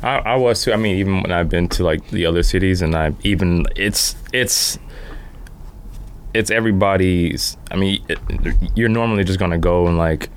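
A man's voice: deep voice, moderate pace, clean tone. A man talking casually as a podcast guest, telling things in slangy, anecdotal fashion and quoting exchanges. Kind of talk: casual chat. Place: podcast studio.

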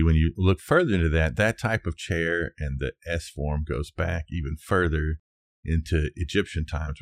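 Deep-voiced man chatting about design history. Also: gravelly, no echo, clean studio sound. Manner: conversational, hedging, thinking aloud. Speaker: podcast co-host. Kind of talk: casual design discussion, telling researched history.

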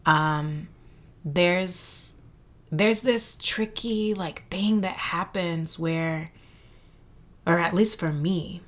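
A woman's voice: fairly low pitch, very slow. A woman guest in an interview, talking thoughtfully and searching for words with frequent fillers. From Mexico City and San Antonio, Texas. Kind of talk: reflective interview conversation.

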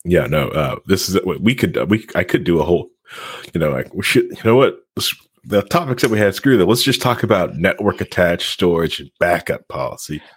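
A man's voice: low-pitched, expressive, fairly quick, husky, no echo. A man hosting a tech talk show in casual banter, rambling and jokey, often laughing.

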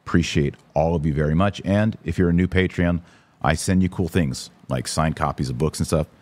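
A man's voice: a bass voice, brisk, a little mellow. A man hosting a podcast in a casual, blunt, conversational livestream style, talking directly to his viewers. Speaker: podcast host and author. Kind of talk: casual livestream commentary, reading viewer comments.

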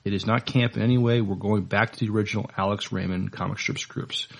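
Low, creaky-voiced hesitant man. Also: fairly even intonation. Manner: hesitant, halting delivery. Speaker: podcast co-host. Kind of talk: casual news chat, partly reading notes.

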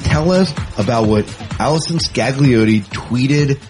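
A man's voice: mid-range voice, unhurried, over music. A man hosting a casual science fiction news podcast in an enthusiastic, conversational way. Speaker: podcast host. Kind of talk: casual sci-fi news chat.

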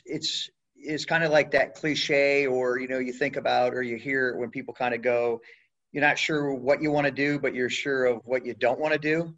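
A man's voice: mid-range voice, fairly bright tone. Rambling Midwest-raised man, slightly dull. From Midwest US (Indiana/Michigan). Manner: rambling, many fillers and restarts. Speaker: guest, retired Air Force pararescueman. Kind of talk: casual interview talk.

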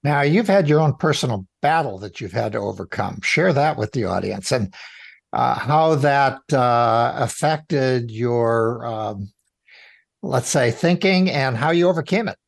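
A man's voice: mid-range voice, lively intonation, measured pace, vocal fry, no room sound. An older man hosts an interview show, formally reading out introductions and putting questions to his guest. The recording is dry and close, with almost no room sound. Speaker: host. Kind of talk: interview hosting.

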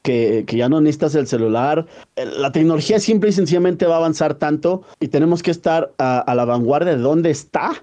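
A man's voice: a little high, animated, fairly quick, warm tone. A man, talking earnestly in a casual panel discussion and often repeating words as he searches for them. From Mexico.

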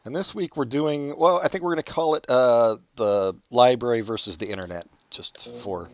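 A man's voice: mid-range voice, moderate pace, muffled recording, slight background noise. A man in his forties hosting a podcast, chatting casually with dry, wry teasing. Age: 40s. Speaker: podcast host. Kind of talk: casual chat.

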